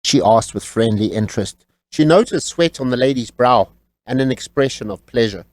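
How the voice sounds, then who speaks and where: A man narrating a scripted audiobook story in a measured, wry storytelling manner. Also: medium pitch, expressive, darkish tone, dry recording.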